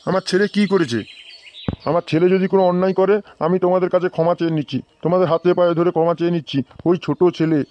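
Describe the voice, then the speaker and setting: Man playing a fast-talking, flustered old father, West Bengal. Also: high voice, little pitch movement, a little mellow, highs cut off, close to an everyday voice. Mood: anxious, pleading. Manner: flustered, pleading. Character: indignant old village father, anxious and pleading. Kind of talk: amateur comedy cartoon dubbing. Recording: faint background noise.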